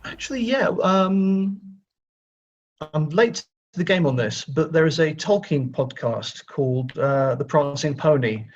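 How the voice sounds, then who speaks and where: Man chatting in a dull lo-fi recording. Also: on the high side. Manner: halting and conversational, repeating words. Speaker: guest writer. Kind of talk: casual interview chat.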